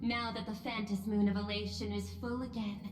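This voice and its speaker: A woman playing a poised, shrewd corporate executive in a video game, speaking in a formal, diplomatic, measured way. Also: mid-range voice, flat delivery, natural voice. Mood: composed, welcoming. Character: poised, courteous, shrewd female corporate executive and planetary governor. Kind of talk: video game story voice acting. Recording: roomy long reverb, music behind.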